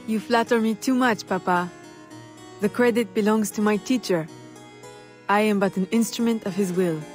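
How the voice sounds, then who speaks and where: An actress playing a poised, self-possessed young gentlewoman in a video game, speaking formally and firmly. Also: medium pitch, moderate pace, faint music behind, natural voice. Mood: affectionate. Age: young adult. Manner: composed, formal, firm. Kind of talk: video game cutscene acting.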